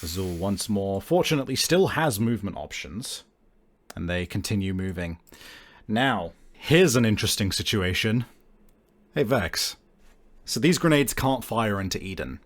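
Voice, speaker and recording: A man narrating and ruling as game master in a tabletop role-playing game, with calm, measured rulings. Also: fairly low pitch.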